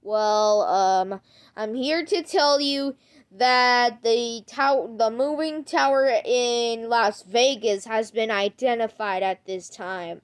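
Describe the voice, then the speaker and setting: A woman acting out an improvised amateur skit, speaking haltingly and repeating her words. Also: a little high, clean tone. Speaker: creator acting out an emergency alert skit.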